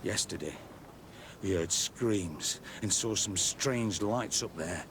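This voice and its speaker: Worn-out, bitter game captain, lowish male voice, self-deprecating, clear ambient noise behind. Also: takes it slowly. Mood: grim, weary. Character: weary, embittered male outpost military captain. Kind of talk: video game cutscene acting.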